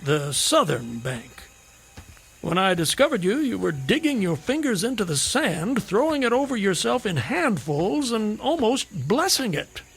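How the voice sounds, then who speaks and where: An actor playing a garrulous, whimsical, hard-drinking drifter in a radio drama, with wry, theatrical, wordy phrasing. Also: male voice, sits high, sing-song, unhurried, gravelly, a bit bright, breathy edge, heavily acted. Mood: teasing, curious.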